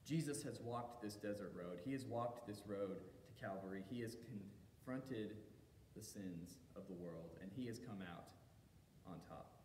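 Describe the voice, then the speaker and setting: A man delivering a sermon as a pastor, telling the story in measured phrases with deliberate pauses and emphasis. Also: a little mellow. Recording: echoey hall reverb, faint low rumble.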